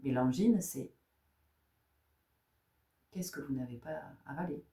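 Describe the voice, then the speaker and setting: A woman's voice: rumbling low, takes it slowly, warm tone, recorded from a distance. Woman giving spiritual guidance, echoey room. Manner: rhetorical questions, repeats phrases, checks in with "d'accord?". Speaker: tarot and spiritual guidance reader.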